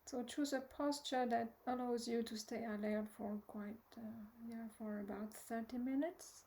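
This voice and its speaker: A woman with a fairly high, mellow voice leads a guided meditation at a very slow pace. She is soft and gentle, often trailing into breathiness. She pauses long and hesitates as she gives instructions. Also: steady tone. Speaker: meditation teacher.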